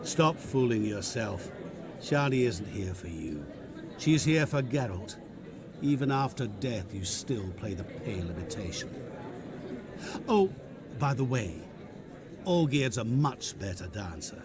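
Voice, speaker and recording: An actor playing a mysterious, smooth-talking male stranger who is courteous, theatrical and taunting, in a video game cutscene. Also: mid-range voice, big pitch swings, unhurried, long pauses, crowd noise. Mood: mocking. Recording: game cutscene with music score.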